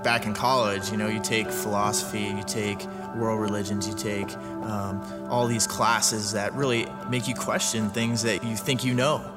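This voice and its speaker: A man's voice: fairly low pitch, steady tone, normal speed, few pauses. Young man, reflective, over music. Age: 20s. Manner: thoughtful, questioning. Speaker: firefighter. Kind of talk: testimony interview. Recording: loud music underneath.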